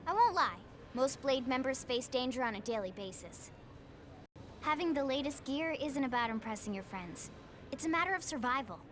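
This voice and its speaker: A woman's voice: high voice, animated, normal speed, a bit bright, slight background noise. An actress playing a perky, eager young woman guiding a tour in a video game, with a bubbly, playful, pushy manner. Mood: excited, chatty. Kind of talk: video game cutscene acting.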